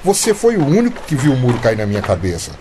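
Animated, indignant Brazilian bricklayer. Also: male voice, medium pitch, fast, noisy background. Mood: pleading. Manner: insistent, colloquial. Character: indignant, aggrieved injured male construction worker. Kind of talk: radio soap opera acting.

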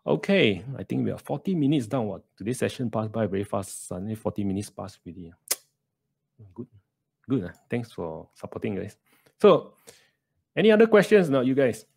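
A man's voice: fairly low pitch, very animated, brisk, vocal fry, a little mellow, slightly rough, no room sound. A man in his thirties with a Malaysian English accent, hosting a casual live question-and-answer stream, chatty and dropping in local slang. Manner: chatty, sprinkles lah and Malay words. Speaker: livestream host. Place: small room indoors. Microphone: USB studio mic on a stand, close.

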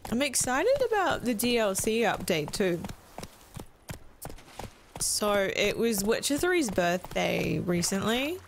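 An Australian woman chatting excitedly and swearing casually as she streams a game. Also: mid-range voice, takes it slowly, creaky, a little hiss or hum. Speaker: gaming streamer. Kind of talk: casual let's-play chat.

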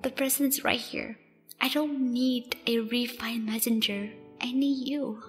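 A woman's voice: on the high side, steady tone, unhurried, long reverb tail, soft background music, natural voice. A woman playing a tender, sincere female rancher in love in a fan radio play, with emotional delivery. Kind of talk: fan radio drama acting.

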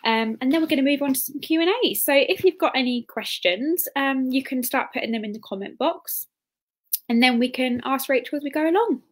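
A woman's voice: sits high, brisk. A woman in her twenties casually introducing a guest as host of an online event. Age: 20s. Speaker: host, bookshop assistant manager. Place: plain indoor room. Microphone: video call.

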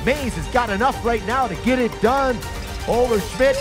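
A man calling ski races play-by-play in quick sports commentary. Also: very high-pitched, music in the background. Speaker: race commentator.

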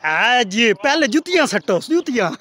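A man's voice: extremely high pitch, very animated, slow, a bit bright. A man playfully commentating his friends' jumps outdoors by a canal, teasing and laughing. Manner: teasing, laughs often, exclaims wah. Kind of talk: playful mock sports commentary.

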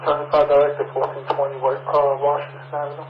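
Male cop on echoey two-way radio, rapid short replies, faint noise. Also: on the high side, monotone. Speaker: police officer on patrol radio. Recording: muffled, echoey police radio with clicks.

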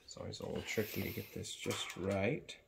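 A man's voice: fairly low pitch, steady tone, fairly quick, creaky. A man, giving an unscripted hands-on how-to in short bursts while he works. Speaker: HVAC sheet metal tradesman demonstrating. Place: large indoor warehouse workshop. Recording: loud workshop noise, metal clanking and clicks.

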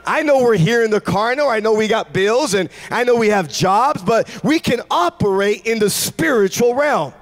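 A man in his forties preaching a sermon in a large church, speaking into a handheld mic with fervent, shouting delivery. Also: high-pitched, expressive, normal speed, few pauses. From United States. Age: 40s. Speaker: pastor. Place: large church stage with congregation.

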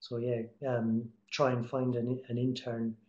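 Middle-aged man, even monotone delivery, casual encouraging mentor, webcam in an echoey room. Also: medium pitch, unhurried, muffled recording. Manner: casual, encouraging, many fillers and restarts. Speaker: guest speaker, TV promo editor. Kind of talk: online talk answering students' questions. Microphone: webcam on video call. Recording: echoey room over video call.

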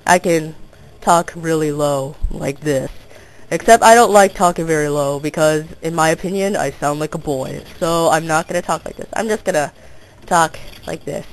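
A teenage girl with a hoarse, sore throat, rambling through casual gameplay commentary. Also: low voice, moderate pace, low-quality recording. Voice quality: gravelly. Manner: rambling and self-interrupting, coughing now and then. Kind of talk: casual let's play commentary.

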